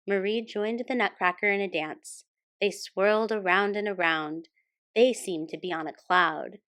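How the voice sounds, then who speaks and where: A woman hosting a storytime and reading a children's picture book aloud as expressive scripted narration. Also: on the low side. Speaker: storytime host and reader.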